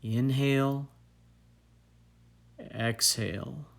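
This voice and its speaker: Very slow, calm man guiding meditation. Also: medium pitch, gravelly. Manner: calm, soothing, deliberate with long pauses. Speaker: meditation guide and fitness trainer. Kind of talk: guided meditation narration.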